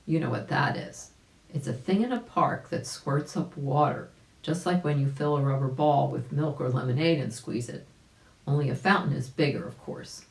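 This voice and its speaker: A woman's voice: rumbling low, moderate pace, gravelly. Woman reading children's stories, echoey room. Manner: explanatory, addresses young listeners directly. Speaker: volunteer storybook reader. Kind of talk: children's story narration with asides to listeners.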